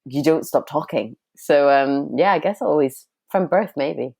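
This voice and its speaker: Chatty woman with a very low voice. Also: measured pace, vocal fry, warm tone, husky. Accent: international mix of Northern Irish and Scottish. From Sudan, raised in Northern Ireland and Scotland. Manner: chatty, laughs often, self-described talker. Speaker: guest, writer and filmmaker. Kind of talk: casual interview talk.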